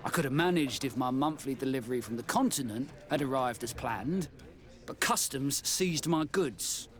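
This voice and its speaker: Man playing an animated, exasperated tavern keeper. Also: medium pitch, moderate pace, close to an everyday voice. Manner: expressive, grumbling.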